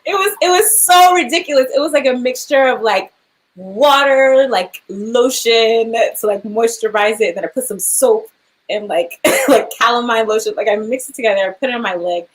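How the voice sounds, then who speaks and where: A woman's voice: a little high, normal speed, rough recording. A young woman, an actor, chatting casually in an interview, laughing often and filling her speech with "like" and "um".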